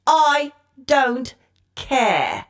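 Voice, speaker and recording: A woman's voice: sits high, expressive, extremely slow, exaggerated voice. A woman playing a sardonic, bitter artificial intelligence in a comedy audio drama. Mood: bitter sarcasm. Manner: sarcastic, griping.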